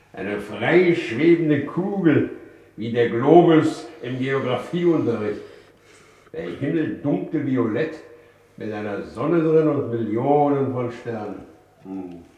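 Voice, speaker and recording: A man's voice: medium pitch, dark tone, highs cut off. A man playing a blustering, chatty government minister given to exclamations, in a 1950s radio drama. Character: jovial, blustering war minister marvelling at space travel. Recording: roomy, echoing vintage radio studio.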